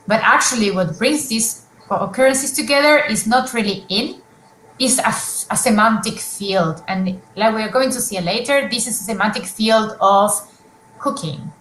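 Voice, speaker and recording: A woman's voice: medium pitch, a bit bright, roomy sound, a little hiss or hum, low-quality recording. A woman presenting research at an academic conference, explaining examples step by step with frequent fillers and restarts over a video call.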